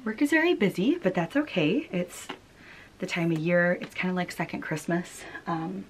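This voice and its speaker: Casting: American woman, thirties, low register, casual chatty vlog host, homemade recording with slight background noise. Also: very animated, moderate pace. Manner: chatty, informal, giggly. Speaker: knitting podcast host. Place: small wood-panelled room at home.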